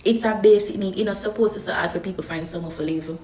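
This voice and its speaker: A woman's voice: mid-range voice, very fast, a little mellow, reverberant. A woman with a Jamaican accent complaining in an exasperated rant. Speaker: social media commenter.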